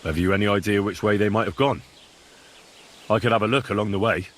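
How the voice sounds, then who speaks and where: An actor playing an earnest young errand-running hero in a video game, polite but stubborn, with a straightforward manner. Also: male voice, on the low side, fairly even intonation, fast talker, slight background noise, natural voice. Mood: inquiring.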